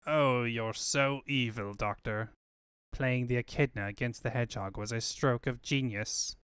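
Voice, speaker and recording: A man voicing a sly, fawning villain's henchman with sycophantic, drawn-out flattery in a comic book fandub. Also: mid-range voice, clean tone, dry recording, clean studio sound, pitched below the performer's own voice, lightly acted.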